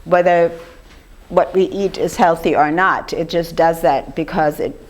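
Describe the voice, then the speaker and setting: An older woman with a slightly rough voice gives an informal lecture, talking conversationally and putting questions to her audience. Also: fairly low pitch, steady tone, unhurried. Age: 50s or older. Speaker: speaker giving a lecture on raw food.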